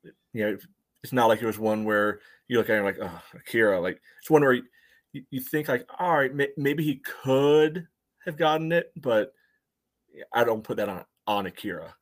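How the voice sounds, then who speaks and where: A man's voice: medium pitch, normal speed. A man co-hosting a soccer fan podcast, talking casually and feeling his way through his points. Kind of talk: casual sports talk.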